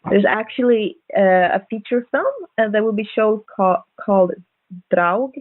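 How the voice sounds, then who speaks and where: A woman speaking as a podcast guest co-host, chatting casually about horror films in hesitant, halting phrases. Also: mid-range voice, no echo. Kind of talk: casual horror film chat. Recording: narrow phone-like call audio, dry.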